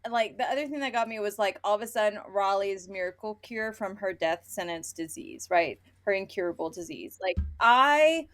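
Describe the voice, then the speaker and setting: A woman's voice: mid-range voice, expressive, moderate pace, hardly any pauses, fairly bright tone, soft background music. A woman podcast host in a casual book chat, ranting bluntly with opinions and swearing, often acting out imagined dialogue.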